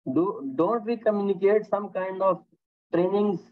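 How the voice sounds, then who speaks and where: A man's voice: high-pitched, a little slow. A man teaching a workplace safety course on a video call, encouraging students and repeating their answers, with frequent "okay" and "very nice". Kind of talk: online lecture with class questions. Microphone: computer mic on a video call. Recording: tinny phone-like call audio.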